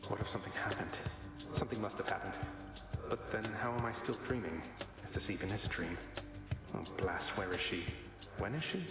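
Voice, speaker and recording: An actor playing a wry, easygoing young underworld prince in a video game, speaking in a conversational, slightly refined way. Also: male voice, lowish voice, brisk, close to an everyday voice. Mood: anxious musing. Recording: heavy dreamlike reverb, game music.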